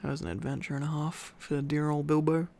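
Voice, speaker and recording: A man's voice: on the high side, level tone, brisk, gravelly, warm tone, no room sound. A sleepy man doing an intimate ASMR boyfriend-style reading, tired and affectionate, with playful asides to his listener. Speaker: ASMR boyfriend roleplay storyteller.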